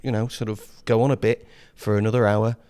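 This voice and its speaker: A man's voice: lowish voice, flat delivery, brisk, mellow, smooth. A man with an English accent co-hosting a podcast indoors by a fire, talking in a chatty, jokey way with many fillers. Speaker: podcast co-host. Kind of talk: casual chat.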